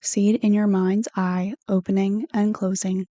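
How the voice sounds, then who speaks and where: Soft mellow lady reading a guided meditation, slow n soothing, gentle instructions, pretty flat pitch. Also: dry recording. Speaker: counselor hosting guided meditations. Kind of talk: guided meditation, read from a script.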